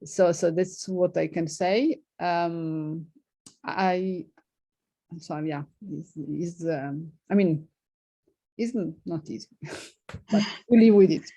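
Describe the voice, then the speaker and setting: Low, dark-voiced woman, halting accented English. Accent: non-native English accent. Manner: halting, many fillers, frequent light laughs. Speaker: invited physicist answering questions. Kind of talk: informal seminar Q&A.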